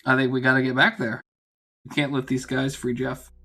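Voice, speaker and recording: Blunt male player, husky and bright-toned, with faint music behind. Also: medium pitch, level tone, a little fast. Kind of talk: casual tabletop game chat.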